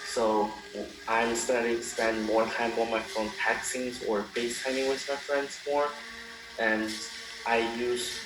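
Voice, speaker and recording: An eighteen-year-old man answers in careful, halting phrases. He sits far from the mic in an echoey room, with clear music underneath. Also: lowish voice. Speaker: communication student. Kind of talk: casual interview answers.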